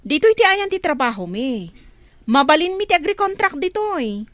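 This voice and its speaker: A woman's voice: sits high, sing-song, normal speed, a bit bright, dry recording. A woman playing a grown eldest daughter in a radio drama, defensive and placating. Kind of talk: Ilocano radio drama acting.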